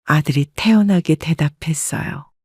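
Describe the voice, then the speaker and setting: A woman narrating her own family story in the first person, calm and reflective. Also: sits low, moderate pace, no room sound, clean studio sound.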